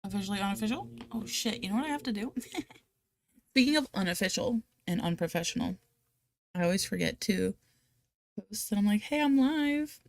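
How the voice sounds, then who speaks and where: Woman streamer, Rhode Island accent, creaky voice, casual and chatty, giggly, self-deprecating, close dry sound. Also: medium pitch, brisk, long pauses, dry recording. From United States, Rhode Island. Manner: exclamatory, playfully dramatic. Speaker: live stream host of reading sprints. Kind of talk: casual live chat with viewers.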